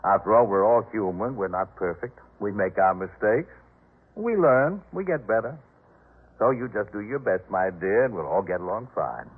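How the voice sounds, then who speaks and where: On a narrow, tinny old radio recording, a proud master of the house. He is pompous and patronizing, with a husky edge and widely swinging intonation, in American 1950s radio drama style. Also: fairly low pitch, animated, normal speed. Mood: condescending, genial. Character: proud, boastful household master eager to impress.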